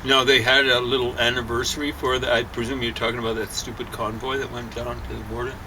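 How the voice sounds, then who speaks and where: A man's voice: medium pitch, little pitch movement, fast talker. A man giving his opinions in casual chat outdoors, with a sardonic, grumbling manner. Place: outdoors on a deck. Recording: distant from mic, clear outdoor traffic noise.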